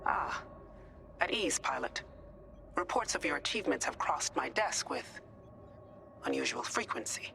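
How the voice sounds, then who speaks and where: A woman playing a cool, authoritative fleet admiral in a video game, gravelly and measured. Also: deep voice, lively intonation, heavily acted. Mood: cool authority. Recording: music playing behind.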